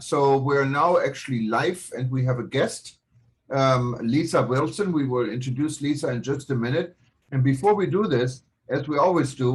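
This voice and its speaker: Man hosting a live online show. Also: mid-range voice. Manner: chatty, apologetic, loose announcing with restarts. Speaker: host. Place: home room in Honolulu.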